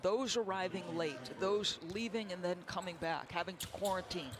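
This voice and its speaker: A woman's voice: on the low side, moderate pace. Woman analyzing basketball over crowd noise. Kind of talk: live game analysis commentary.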